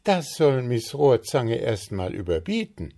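A man voicing a grumpy, proud male gnome baker, huffy and quick to take offence, in a storybook reading. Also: medium pitch, very animated, creaky, dark tone, voice pushed up. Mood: boastful. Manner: huffy, indignant, quickly agitated.

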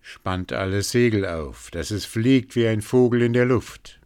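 Man doing a sly loyal servant from a fairy tale, low voice, slow and calm. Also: clear, resonant tone, close to an everyday voice. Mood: commanding. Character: faithful male servant, loyal and clever.

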